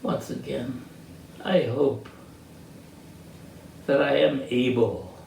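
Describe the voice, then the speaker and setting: An elderly American man tells personal stories at a slow, halting pace, pausing to find his words. He is picked up from a distance in an echoey room, with faint noise behind him. His delivery is reflective. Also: medium pitch, steady tone, creaky, a little mellow. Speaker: interviewee sharing life stories. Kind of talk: reminiscing personal storytelling.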